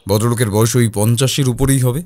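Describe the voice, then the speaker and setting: A man's voice: medium pitch, steady tone, fast talker, a little mellow. A man reading a scripted first-person detective story as its narrator.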